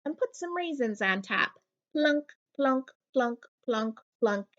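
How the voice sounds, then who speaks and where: High, animated woman reading a children's story. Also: slow, muffled recording. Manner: expressive, playful sound-effect words. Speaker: first grade teacher reading aloud. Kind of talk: animated children's picture book read-aloud.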